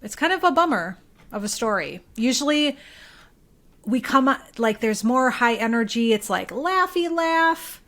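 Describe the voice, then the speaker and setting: A woman's voice: on the high side, expressive, unhurried, a bit bright. A woman co-hosting a podcast in casual conversation, thinking aloud and hedging her points as she goes. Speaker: podcast co-host.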